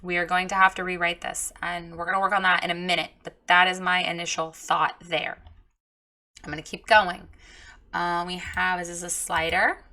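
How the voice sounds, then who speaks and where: Woman reviewer, hoarse and froggy, bright forceful delivery, candid coaching tone. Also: lowish voice, level tone, moderate pace. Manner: chatty, frank, encouraging with filler ums. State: hoarse, recovering from lost voice. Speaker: website reviewer giving feedback. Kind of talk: casual screen-share critique.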